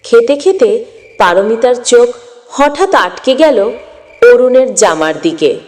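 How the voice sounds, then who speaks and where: Woman narrator reading a moral story, highish voice, echoey hall sound. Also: lively intonation, slow pace, a little mellow. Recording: long echoing reverb.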